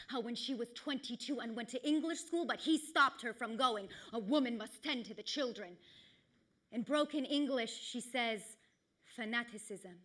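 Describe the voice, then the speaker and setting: High, bright-voiced woman performing spoken word. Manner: poetic, deliberate phrasing with emphatic repetition; theatrical, swinging from hushed to forceful. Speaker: actor and writer performing a self-written monologue. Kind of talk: dramatic spoken-word monologue.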